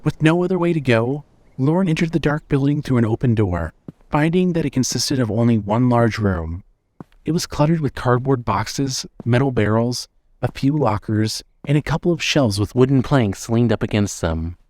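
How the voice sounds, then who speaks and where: A man narrating a scripted story in a measured, suspenseful way. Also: mid-range voice, lively intonation, darkish tone, no room sound.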